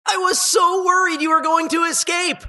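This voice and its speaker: An actress playing a gleefully sinister, disembodied dungeon host in a video game, mock-cheerful, teasing and theatrical. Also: female voice, very high-pitched, flat delivery, normal speed, bright, forceful tone. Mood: gleeful mockery. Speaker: game announcer.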